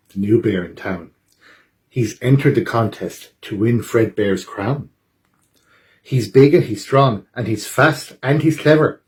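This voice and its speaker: A man reading a children's picture book aloud at a library storytime, with dramatic, expressive delivery. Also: on the low side.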